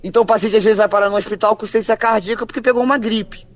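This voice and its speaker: A woman, a physician answering interview questions in a measured, plain way with frequent restarts. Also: medium pitch, fairly even intonation. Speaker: physician guest. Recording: dull, lo-fi, dry sound.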